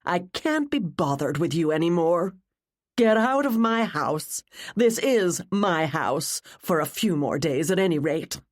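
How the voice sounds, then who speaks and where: A woman's voice: low-pitched, lively intonation, moderate pace, few pauses, a little mellow. Woman putting on a haughty, sneering rich wife. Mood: dismissive. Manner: bored disdain, drawling mockery. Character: haughty, sneering wealthy wife, cruel and mocking.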